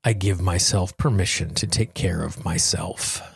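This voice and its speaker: A man's voice: low-pitched, unhurried, creaky, slightly rough, no room sound, studio quality. A man hosting a self-help podcast, speaking in an earnest, encouraging manner. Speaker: podcast host. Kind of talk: guided self-help tapping affirmations and podcast talk.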